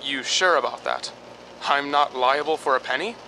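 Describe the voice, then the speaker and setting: A man's voice: medium pitch, moderate pace, sharp tone. A man playing a grumpy, skeptical, tight-fisted property owner in a radio drama, curt and grudging. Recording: noisy background ambience.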